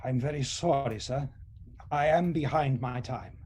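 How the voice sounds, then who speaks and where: A man's voice: medium pitch, unhurried, darkish tone, a little hiss or hum, natural voice. An actor playing a meek male clerk in an audio drama, deferential, hesitant and apologetic toward his boss. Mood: meek, anxious. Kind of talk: theatrical audio drama acting.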